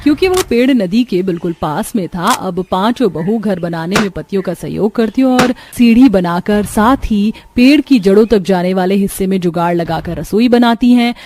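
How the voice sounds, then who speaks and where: A woman's voice: medium pitch, animated, normal speed, hardly any pauses, background noise. A woman narrating a scripted cartoon story as its storyteller.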